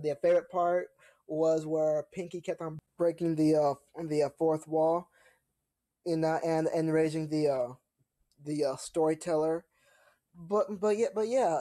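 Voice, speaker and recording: A man hosting a reaction video with casual, halting commentary, often repeating words and phrases. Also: a little high, dark tone.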